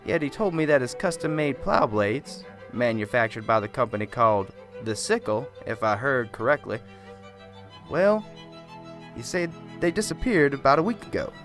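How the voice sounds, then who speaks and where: A man playing a gentle, wordy small-town reverend. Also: medium pitch, expressive, normal speed, faint music behind, lightly acted. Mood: solemn. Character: soft-spoken small-town male reverend, earnest, rambling. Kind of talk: amateur radio drama.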